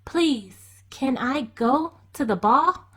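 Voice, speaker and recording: A woman's voice: high-pitched, animated, slow, a bit bright, breathy edge, pitched above the performer's own voice, full character voice. A timid young storybook servant girl, acted by a woman reader, meek and hesitant. She speaks in a lifted, pleading voice.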